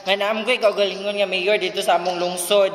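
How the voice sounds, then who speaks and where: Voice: mid-range voice, level tone, a little fast, fairly bright tone, slight background noise, lightly acted. A performer playing a young provincial student, casual and playfully exasperated with friends, in an amateur radio drama recorded in an echoey room.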